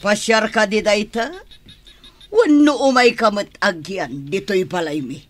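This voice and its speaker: A woman acting a stern, scornful middle-aged mother-in-law in a radio drama, with a sharp, demanding manner. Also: medium pitch, unhurried, soft background music, lightly acted. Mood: curt, suspicious.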